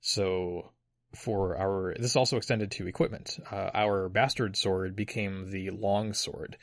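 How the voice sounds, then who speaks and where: Man, lowish voice, dry close recording, detailed explainer, lots of uhs and likes, casual podcast chat. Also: fairly even intonation, a little slow, dry recording. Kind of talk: casual chat about a video game.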